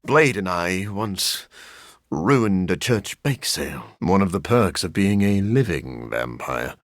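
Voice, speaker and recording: An actor playing a brooding, scholarly vampire in a video game, a man with a husky, gravelly voice and a dry, formal manner. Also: sits low, big pitch swings, slow pace, no room sound, exaggerated voice. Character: brooding, scholarly living vampire scientist, grim and awkward, adult man. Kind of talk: video game character acting.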